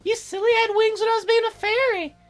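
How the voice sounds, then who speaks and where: A woman's voice: very high-pitched, fairly even intonation, fast talker, soft background music, pitched above the performer's own voice. A woman voicing a playful, teasing young fairy girl in a visual novel, with a girlish, sing-song delivery. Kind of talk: visual novel character read in a let's play.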